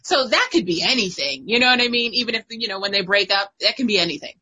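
Bright, husky-voiced chatty young woman. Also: mid-range voice, lively intonation, quick pace. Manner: chatty, laughs often, many fillers. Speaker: guest co-host. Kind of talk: casual chat.

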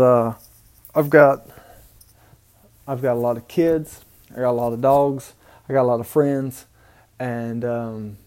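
A man with a Mississippi Southern drawl rambles on in a casual solo podcast, recorded on an amateur home mic. His delivery is flat and halting, full of ums and restarts. His voice is mellow and dark, at a middle pitch. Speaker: podcast host. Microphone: home microphone, amateur setup.

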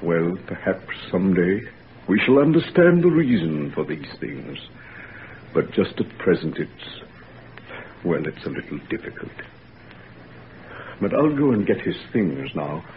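A weary older father in an old radio play, low and slow with a heavy, halting sorrow. His pitch rises and falls widely. The sound is thin and tinny, like old broadcast radio, with a faint hiss. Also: very animated, heavily acted. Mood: sorrowful. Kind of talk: 1940s radio acting.

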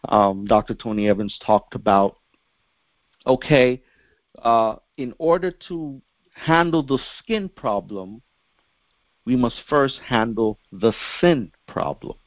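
A man's voice: mid-range voice, expressive, slow pace, dry recording. A man speaking as a pastor, teaching a Bible lesson emphatically and often checking in with a short question. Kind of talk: church Bible teaching with read-out passages. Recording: dull lo-fi close dry audio.

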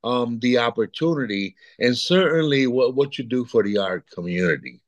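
A man's voice: mid-range voice, expressive, moderate pace, dry recording. A man in his sixties from Puerto Rico, chatting as an interview guest, rambling and repeating words as he thinks. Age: 60s. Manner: rambling, repeats words, chuckles between thoughts. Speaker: guest artist. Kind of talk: casual interview chat.

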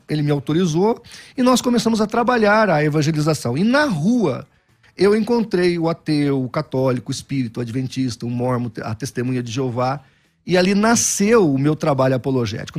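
Animated middle-aged pastor. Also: male voice, a little high, brisk. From Brazil. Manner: animated, self-deprecating anecdotes with frequent restarts. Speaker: Baptist pastor. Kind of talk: storytelling interview answers.

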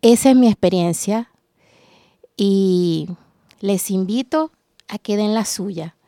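Woman host, mellow, unhurried, reflective. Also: medium pitch, no room sound, clean studio sound. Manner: measured, reflective. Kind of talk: reflective roundtable hosting. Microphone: studio microphone.

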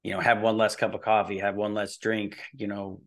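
A man's voice: lowish voice, little pitch movement, brisk. A man in his early forties talking casually and informally as a fitness coach being interviewed, explaining things in a rambling way. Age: early 40s. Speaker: fitness and nutrition coach, podcast guest.

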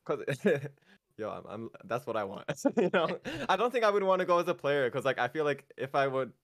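A young man raised in Japan with an American accent, speaking as a guest in a casual interview, halting, with many fillers and restarts. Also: mid-range voice, sing-song, fairly bright tone, no echo, clean studio sound.

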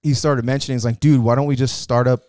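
A man's voice: mid-range voice, fairly even intonation, fast talker, a little mellow, dry recording, studio quality. A young man co-hosting a casual podcast with a friend, laid-back and slangy, swearing casually. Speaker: podcast co-host. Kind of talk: casual chat.

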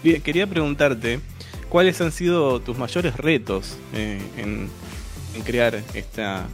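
A man with an Argentine accent hosts an interview in a chatty way, working through his questions with fillers. Music plays clearly under his voice. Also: medium pitch, slow. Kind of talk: interview conversation.

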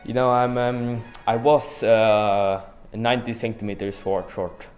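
Teen boy, lowish voice, rambling jokey answers, lo-fi sound with faint music behind. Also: level tone, unhurried. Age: teens. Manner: laid-back, rambling joke answers with fillers. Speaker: guest. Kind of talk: joking student podcast interview.

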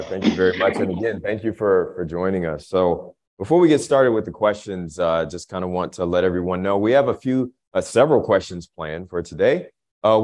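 A man's voice: sits low, a little mellow. A man moderating a live panel discussion, explaining questions to the panelists and audience.

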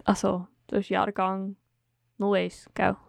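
Woman podcast co-host, gravelly and flat, dry close sound, chatty. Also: medium pitch, measured pace, no echo. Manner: conversational, agreeing, thinking aloud. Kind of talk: casual discussion.

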